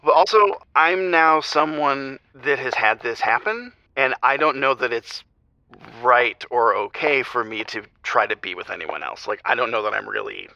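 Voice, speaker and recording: A man playing a grieving married father calling an advice show, speaking haltingly and confessionally, on slightly muffled audio. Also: mid-range voice, lively intonation, bright, forceful tone, no echo. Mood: heavy, conflicted. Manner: halting, subdued, trailing off. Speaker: caller.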